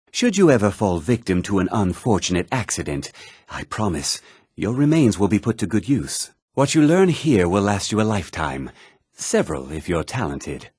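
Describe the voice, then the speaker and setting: A self-important townsman from a fantasy video game. His husky voice swings widely in pitch and is recorded close and dry. He talks with theatrical, smug condescension. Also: a little mellow, no room sound. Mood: cool, dismissive. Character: haughty, self-important male townsman and merchant of a fantasy world. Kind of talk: video game character dialogue.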